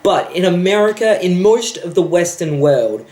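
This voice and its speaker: A man's voice: high voice, expressive, moderate pace, a little mellow. A young man ranting about a film in a sarcastic, profane, exasperated way. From Australia. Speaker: vlogger. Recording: echoey room.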